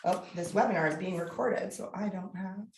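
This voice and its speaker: Husky-voiced woman lecturing in an echoey room. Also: lowish voice, brisk, a little hiss or hum. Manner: candid, energetic, frequent fillers. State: husky from laryngitis. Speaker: guest speaker. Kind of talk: public lecture.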